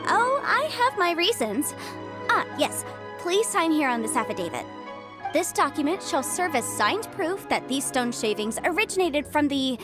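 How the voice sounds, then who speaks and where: An actress playing a confident young legal advisor in a game, brisk, self-assured and a little playful. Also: female voice, sits high. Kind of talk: game voice acting. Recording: studio voice over game music.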